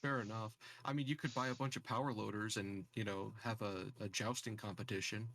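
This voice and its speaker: Man, game player, creaky voice, casual chat, dry close mic sound. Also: on the low side, steady tone, brisk, a bit bright, no room sound.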